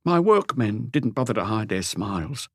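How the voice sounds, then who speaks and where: A man voicing an anguished, lonely, meek husband in an audiobook, pleading and rambling haltingly through a confession. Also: on the low side, sing-song, no echo, clean studio sound. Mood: wounded, hopeless. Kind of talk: audiobook character dialogue.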